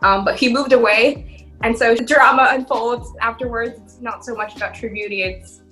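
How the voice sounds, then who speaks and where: A young woman with a high, bright voice talks as a podcast co-host. Her delivery is informal and a little tentative, like a chat among friends, with music behind her. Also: steady tone, normal speed, rough recording.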